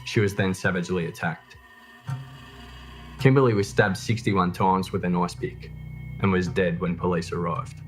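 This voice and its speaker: A man narrates a true crime documentary from a script. His voice sits low in pitch. Soft music plays behind him. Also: fairly even intonation, normal speed, pauses often.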